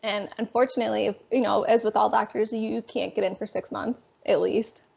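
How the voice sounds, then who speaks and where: Raspy young woman, gravelly. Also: medium pitch, moderate pace. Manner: animated, frequent fillers. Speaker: guest. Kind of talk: casual interview storytelling. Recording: dull lo-fi sound.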